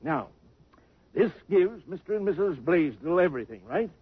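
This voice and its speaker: A man's voice: fairly high pitch, very animated, normal speed, breathy edge, no room sound, heavily acted. An actor playing a grumpy, sarcastic rich old bachelor who growls from his sickbed in a 1950s radio drama. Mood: grumbling. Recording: tinny old radio broadcast.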